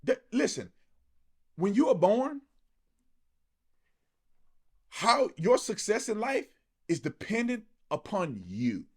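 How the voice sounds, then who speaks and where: A man's voice: high-pitched, big pitch swings, slow, pauses often, husky. A man with an American accent delivering an impassioned, colloquial opinion monologue, emphatic and full of rhetorical challenges. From United States. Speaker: online political commentator.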